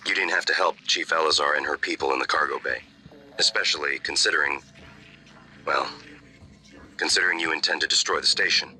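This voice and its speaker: An actor playing a calm, grave, thoughtful man in a video game, speaking in a measured, reflective way. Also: very deep voice, full character voice. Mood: grave admiration. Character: calm, grave, thoughtful man advising over station intercom.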